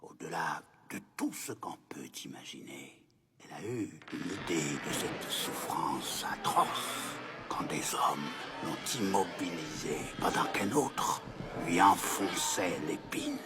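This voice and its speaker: A man voicing a wise old grandfather in an animated film, kindly and solemn, explaining patiently in a husky, breathy voice. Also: sits low, slow pace, whispered, full character voice. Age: older.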